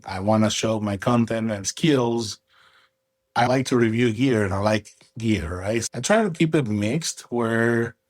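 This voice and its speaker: A man from Venezuela, a guest on an interview podcast, talking in a relaxed, rambling way and often tagging his sentences with "right?". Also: mid-range voice, dry recording. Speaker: guest, coffee roaster and content creator. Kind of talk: casual interview talk.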